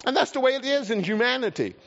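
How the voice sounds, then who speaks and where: A man with a South Carolina accent preaches in a folksy, earnest way, and his voice sits high for a man. He often repeats words as he goes. The sound is dull and lo-fi, with a long reverb from a large hall. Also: expressive, quick pace, gravelly, a bit bright. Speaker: pastor. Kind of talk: sermon with a personal story.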